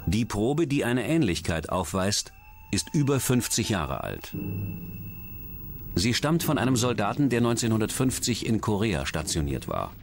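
A man reading scripted documentary narration about science and crime in a measured, dramatic, clearly enunciated way. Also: on the low side, big pitch swings. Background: music.

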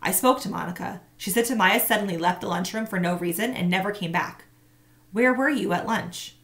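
Worried, stern female principal, as a woman reading a storybook puts her on, slight gravel. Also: mid-range voice, brisk, natural voice.

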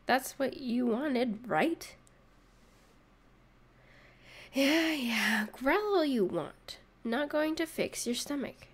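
A woman's voice: high-pitched, expressive, slow, husky, heavily acted. A woman playing a sarcastic narrator in a comedic audio roleplay, teasing and mock-sympathetic as she mocks a listener. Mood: smug teasing. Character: sarcastic, mocking narrator gleefully needling a hungover catgirl. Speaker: voice actress.

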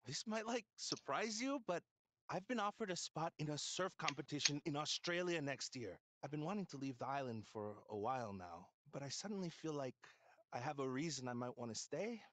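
An actor playing a sweet, earnest young surfer dude in a video game, talking in breezy slang. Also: male voice, on the high side, expressive, fairly quick, fairly bright tone. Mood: nervous, sincere. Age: young adult.

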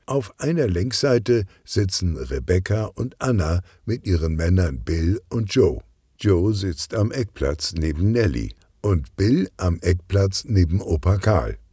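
Deep, husky male storyteller. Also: very animated, measured pace, mellow, no echo, clean studio sound. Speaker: narrator. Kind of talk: expressive scripted children's audiobook narration.

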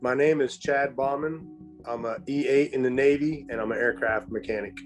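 Measured, hesitant man in his forties. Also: mid-range voice, faint music behind. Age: 40s. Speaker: Navy senior chief. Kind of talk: recorded testimonial. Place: room at home.

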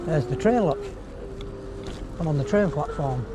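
Man, slightly high-pitched, casual cycling vlog commentary, rambling, thinking aloud, noisy outdoor action-camera sound with wind and traffic. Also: animated, unhurried. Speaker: vlogger on an electric bike ride. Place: outdoors on roads, riding a bicycle.

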